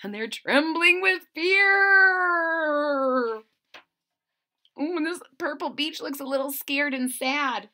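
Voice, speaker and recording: A woman's voice: high-pitched, slow, long pauses, bright, forceful tone. A woman reading a children's story aloud expressively, with asides and questions to her listeners. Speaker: storybook reader.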